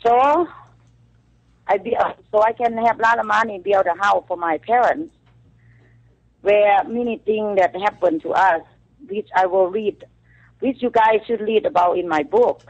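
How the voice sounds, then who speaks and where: A woman from Thailand with a Thai accent, calling in as a guest to a radio show and speaking halting English full of fillers. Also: mid-range voice, dull audio. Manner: halting non-native English with frequent fillers. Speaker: call-in guest, author. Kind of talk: phone-in radio interview. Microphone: telephone.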